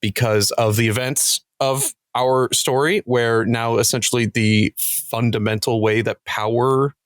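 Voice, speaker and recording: A man's voice: medium pitch, steady tone, unhurried, dry recording. Thoughtful man chatting, dry close sound. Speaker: podcast guest, cast member of a role-playing show. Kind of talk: casual podcast chat.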